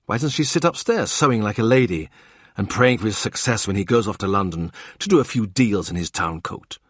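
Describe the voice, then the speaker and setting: Husky male audiobook narrator. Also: on the low side, expressive, a little fast, dry recording, clean studio sound. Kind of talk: scripted narration.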